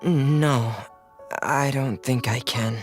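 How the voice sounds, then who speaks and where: An actor playing a shy, hesitant young man in a video game, with a halting, husky, creaky voice. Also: medium pitch, steady tone, soft background music. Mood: nervous. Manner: halting, unsure.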